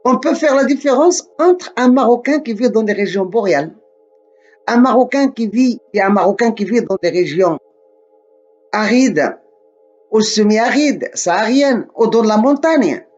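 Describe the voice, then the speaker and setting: A man from Morocco giving a slow, deliberate spoken lesson, often repeating and correcting himself. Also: sits high, animated, darkish tone, dull audio, faint music behind.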